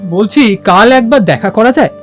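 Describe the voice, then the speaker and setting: A woman's voice: a little high, expressive, moderate pace, soft background music, natural voice. A woman playing a cheeky, persistent, charming young male suitor in an audio drama. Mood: teasing.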